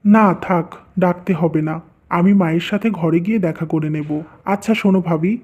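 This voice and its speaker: A man's voice: high-pitched, brisk, narrow, tinny audio. A man voicing a dutiful, earnest young husband in a cartoon who is devoted to his family. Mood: warm, earnest.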